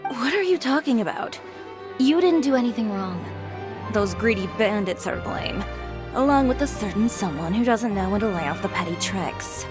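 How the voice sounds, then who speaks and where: Casting: woman as a poised fashion designer, confident and dry, mid-pitched voice, quietly in charge. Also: expressive, normal speed, music in the background, close to an everyday voice. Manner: cool, confident, dryly teasing. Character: composed, self-assured female fashion designer.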